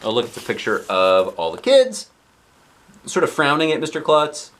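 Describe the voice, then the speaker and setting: A man reading a children's storybook aloud in an expressive, animated narrating style. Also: a little high, normal speed. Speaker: storybook reader, dad.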